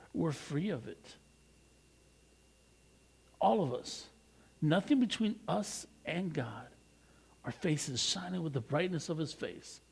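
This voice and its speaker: American male pastor for scripture narration; husky, gravelly tone, emphatic and deliberate phrasing. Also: fairly high pitch, a little mellow. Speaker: pastor reading scripture aloud. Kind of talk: expressive scripture reading.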